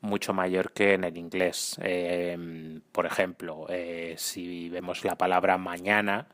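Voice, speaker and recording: A man's voice: low-pitched, little pitch movement, slow pace, a bit bright. A man leaving a voice message for a podcast, speaking carefully and with hesitant restarts. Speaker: listener sending a voice message. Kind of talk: prepared voice message.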